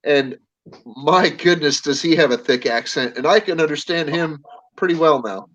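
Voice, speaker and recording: Excitable American man, dull audio. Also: fairly high pitch, normal speed. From United States. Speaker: live stream host. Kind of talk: casual chat.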